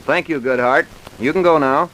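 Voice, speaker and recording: An actor playing a formal, doubting British police detective in an old-time radio drama, measured and dry. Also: male voice, a little high, a bit bright, a little hiss or hum, natural voice, low-quality recording. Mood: stern, skeptical.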